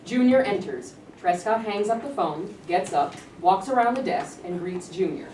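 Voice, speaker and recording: A woman's voice: medium pitch, animated, moderate pace, darkish tone. A woman giving scripted narration, reading out stage directions at a staged play reading. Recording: roomy, distant mic, clear background noise.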